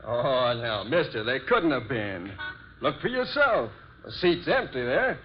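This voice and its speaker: An actor playing a plain-spoken, colloquial working-class cab driver in a 1940s radio drama. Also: male voice, medium pitch, big pitch swings, measured pace. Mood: puzzled, reassuring. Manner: folksy, colloquial. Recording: muffled old radio recording, faint noise.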